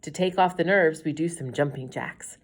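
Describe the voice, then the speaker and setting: A middle-aged woman teacher reading a children's chapter book aloud in a gentle, storytelling manner. Her voice sits a little low, and she drops to a whisper on quiet lines. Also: moderate pace.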